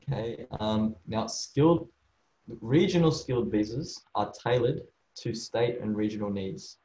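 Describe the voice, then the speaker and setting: A man's voice: fairly low pitch, measured pace, warm tone. A man working as a migration agent, walking through visa rules in a casual way, often saying "okay" and "um" and restarting his sentences. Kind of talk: explaining visa rules from slides. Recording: echoey room, slightly dull sound.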